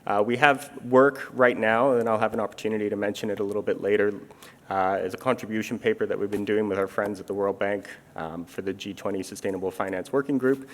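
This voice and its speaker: A middle-aged man from Canada sits onstage at a conference and explains insurance policy in a measured way, pausing often on fillers. His voice sits fairly low for a man and carries through a stage microphone. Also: steady tone. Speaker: international insurance supervision official. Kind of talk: onstage armchair conversation. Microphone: handheld or stage microphone.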